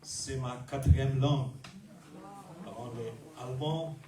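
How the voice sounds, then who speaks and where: Slow Brazilian-accented pastor, distant roomy sound. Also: male voice, mid-range voice, fairly even intonation, background noise. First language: Portuguese. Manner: slow, measured delivery with pauses between short phrases. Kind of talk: sermon.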